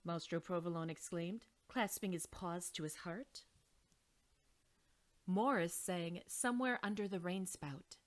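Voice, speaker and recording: A woman reading a children's picture book aloud in an expressive storytelling manner. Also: fairly low pitch, unhurried. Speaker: read-along reader.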